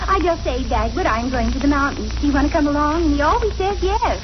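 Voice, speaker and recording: A woman playing a sweet, sensible young housewife in 1940s radio comedy, coaxing and gently bossy. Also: high-pitched, animated, fast. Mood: sweetly reasonable. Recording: very noisy, dull old radio recording.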